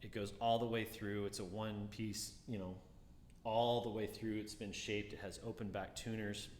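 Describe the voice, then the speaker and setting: A man with a low, deeper voice talks casually through a guitar review, relaxed and musing, often doubling back on his words. He sounds like he is in a roomy space with a long reverb tail and a faint hum of ambience behind him. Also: moderate pace.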